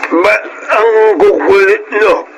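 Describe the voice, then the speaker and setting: A man's voice: high voice, slow, a bit bright, a little hiss or hum, low-quality recording. An actor playing a stubborn, ailing old father in a Filipino radio drama. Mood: whining, stubborn.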